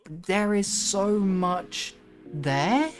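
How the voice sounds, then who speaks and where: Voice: mid-range voice, extremely slow. A livestream host chatting casually and musing aloud in an earnest, rambling, reflective way. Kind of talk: casual chat and reflective monologue to a livestream audience. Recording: music behind the voice. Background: music.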